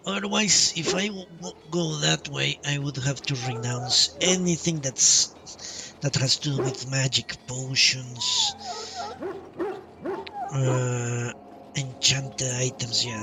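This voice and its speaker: A man streams a game in a slow, halting way, thinking aloud as he chats. His pitch moves around a lot. He sits away from the mic, with music playing behind him. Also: gravelly, far from the mic, poor audio. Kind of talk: casual gameplay commentary and chat.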